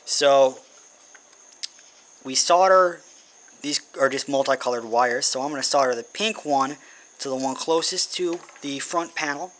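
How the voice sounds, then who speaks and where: A man's voice: mid-range voice, measured pace, long pauses, a bit bright. A man giving a casual how-to demonstration, informally thinking aloud as he works. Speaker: hobbyist presenting an electronics build. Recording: noisy amateur recording with insect hum.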